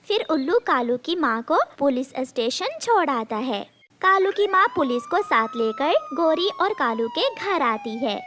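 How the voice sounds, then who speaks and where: A woman's voice: high voice, expressive, measured pace, fairly bright tone. A woman narrator reading a scripted cartoon story.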